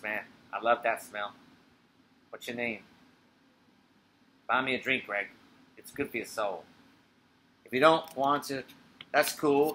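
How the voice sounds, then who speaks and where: A man's voice: on the low side, bright, forceful tone, slight background noise, poor audio. A man playing an old blind ex-fighter in a bar, streetwise and wistful, with clipped, offhand talk.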